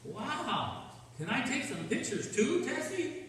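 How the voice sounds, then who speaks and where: Radio-play boy sidekick, acted, eager and excitable, lively high voice, recorded from far off in a noisy, echoing hall. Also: slow pace. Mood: curious. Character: excitable young boy Junior, eager nephew of detective. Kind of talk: stage radio-play acting.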